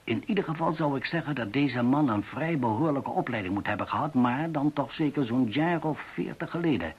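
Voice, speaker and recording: An actor in a radio play playing an older professor, deliberate, scholarly and formal in his analysis. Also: medium pitch, animated, husky. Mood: analytical, measured. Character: older male language professor, measured, analytical, precise. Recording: dull, muffled radio play recording.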